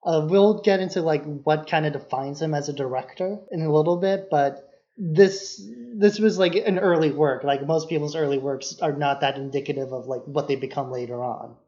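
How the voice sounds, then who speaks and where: A man's voice: on the high side, normal speed, warm tone. A man co-hosting a podcast, explaining things about film in a casual, loosely worded way. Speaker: podcast co-host. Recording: dull, lo-fi podcast audio.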